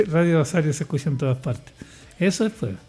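A man's voice: fairly high pitch, animated, normal speed, warm tone, soft background music. An older man speaking in casual radio chat, hesitant and rambling, with many restarts and fillers. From Chile. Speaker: radio guest, church choir leader.

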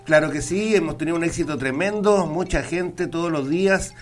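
A man's voice: on the high side, fairly quick, soft background music. A Chilean man speaking as a guest in a casual radio interview, friendly, often repeating himself as he searches for words. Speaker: circus spokesman, radio guest. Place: radio studio.

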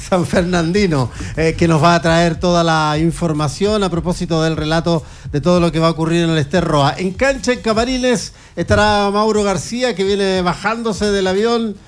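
A man with a Chilean accent hosting a live football broadcast, chatting conversationally and stating his opinions plainly. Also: high-pitched. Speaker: sports radio host.

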